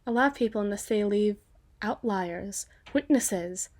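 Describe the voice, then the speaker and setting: A woman's voice: mid-range voice, a little mellow, lightly acted. A woman playing a small, proper, cocky female vampire in an ASMR roleplay, chatty and teasing in a playfully prim way. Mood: chatty, gossipy. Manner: playfully prim, teasing, with drawn-out phrases and asides.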